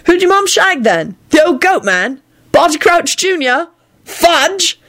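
A woman's voice: extremely high pitch, big pitch swings, slow pace, a bit bright, pitched above the performer's own voice, heavily acted. A woman voicing a cheeky, gleeful, sneaky teenage boy in an audiobook, with a brash cheerful manner. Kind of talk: audiobook character voice.

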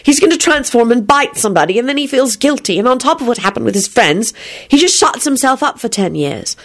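Lady doing a worried stubborn storybook girl, insistent, high and swoopy. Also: animated, a little fast. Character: anxious, stubborn girl who dithers yet acts defiant. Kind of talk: dramatized storybook reading.

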